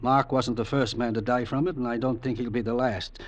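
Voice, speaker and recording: An actor playing a shrewd blind crime boss in an old radio suspense drama, with a terse, controlled, authoritative manner. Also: male voice, mid-range voice, fairly even intonation, brisk, close to an everyday voice. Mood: grim, commanding. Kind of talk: 1950s radio acting. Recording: vintage radio drama, faint background noise.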